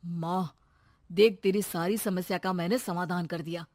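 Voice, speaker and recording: Woman voicing a soft-spoken cartoon husband, soothing, coaxing, dry close sound. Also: fairly low pitch, fairly even intonation, normal speed, dry recording, pitched below the performer's own voice, lightly acted. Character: gentle, easygoing young husband.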